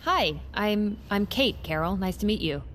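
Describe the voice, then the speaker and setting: An actress playing a polite, awkward newcomer among crooks in an audio drama, tentative and easily flustered. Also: female voice, mid-range voice, lively intonation, measured pace, fairly bright tone, slight background noise.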